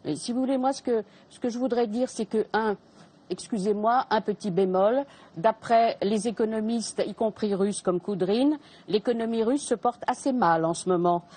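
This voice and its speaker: A woman's voice: fairly high pitch, moderate pace, dark tone, slightly rough. A middle-aged woman, a political scientist, speaking hesitantly as a guest on a televised panel debate and protesting at interruptions.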